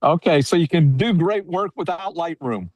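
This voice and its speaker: A man's voice: a little high, normal speed, darkish tone, no room sound. A man hosting an interview over a video call, upbeat and joking with his guest. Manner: jokey, upbeat. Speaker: interviewer and host.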